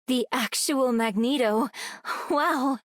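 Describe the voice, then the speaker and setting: A woman's voice: sits high, slow pace, no echo, studio quality. A woman voicing a plucky, girlish young hero in a video game. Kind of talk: video game character voice lines.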